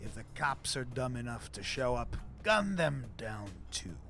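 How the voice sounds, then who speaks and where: Cocky, taunting gang thug, music behind. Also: male voice, mid-range voice, sing-song, measured pace, fairly bright tone. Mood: callous, goading. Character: sneering male gang thug running a deadly street game. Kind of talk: video game character acting.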